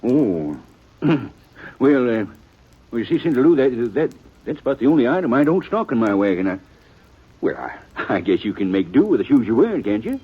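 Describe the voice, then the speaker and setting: An actor playing an excitable, kindhearted frontier wagon peddler with a folksy rural American drawl in an old-time radio western. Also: male voice, mid-range voice, normal speed, breathy edge. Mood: flustered. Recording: muffled, noisy old radio broadcast.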